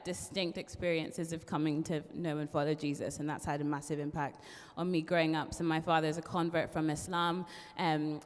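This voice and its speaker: A young woman with a mixed Irish accent, a part-qualified barrister, chatting as an interview guest with frequent ums and laughs. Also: low voice. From born in London, raised in Ireland. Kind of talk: casual interview chat. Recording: long hall reverb.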